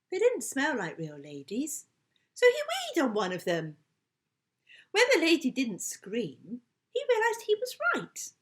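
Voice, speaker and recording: A woman reading a children's story aloud in an expressive, playful storytelling manner. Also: fairly high pitch. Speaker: author reading her book.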